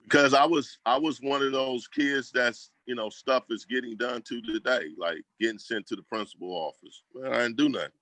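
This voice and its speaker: A man's voice: mid-range voice, normal speed, few pauses, fairly bright tone, no echo. A man talking casually as an interview guest, telling stories in slangy, colloquial speech and acting out quoted remarks. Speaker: school program leader, guest. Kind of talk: casual conversational storytelling.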